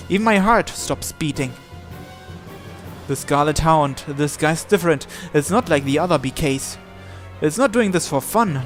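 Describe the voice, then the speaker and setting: Higher-pitched man narrating a story over music. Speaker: gamer reading a visual novel aloud. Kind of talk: dramatic first-person story narration.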